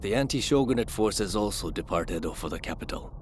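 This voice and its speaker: A man's voice: lowish voice, expressive, a little fast, noisy background, lightly acted. A man narrating a samurai-era game story as a grave storyteller. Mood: solemn. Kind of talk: scripted narration.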